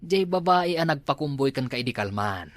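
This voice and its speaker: A man's voice: mid-range voice, sing-song, fairly quick, a bit bright, dry recording. Nosy Ilocano man, dry sound. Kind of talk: comedy drama acting.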